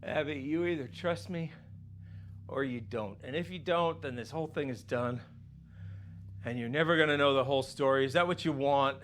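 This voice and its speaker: A man's voice: mid-range voice, normal speed, fairly bright tone, slight background noise, natural voice. A man acting as a desperate, paranoid, foul-mouthed dying uncle in a naturalistic film drama, agitated and pleading, often stammering. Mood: intense, pleading. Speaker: actor in interactive mystery film.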